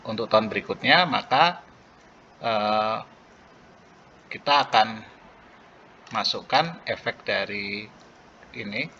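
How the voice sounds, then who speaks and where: Male accounting lecturer, bright edge to a lowish voice, halting step-by-step explanation, dull recording with faint noise. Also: lively intonation. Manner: halting, with pauses mid-phrase. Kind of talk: explanatory lecture walking through worked journal entries.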